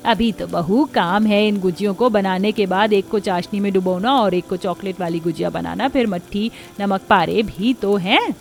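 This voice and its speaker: A woman's voice: medium pitch, normal speed, creaky, few pauses, a little mellow, close to an everyday voice. A woman playing a kindly middle-aged mother-in-law in a cartoon story. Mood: motherly.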